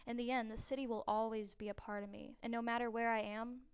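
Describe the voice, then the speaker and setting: A woman's voice: fairly high pitch, little pitch movement, quick pace, smooth. A young woman from New Orleans, a university student, reading her own prepared personal narration in an earnest way. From New Orleans, USA. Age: young adult.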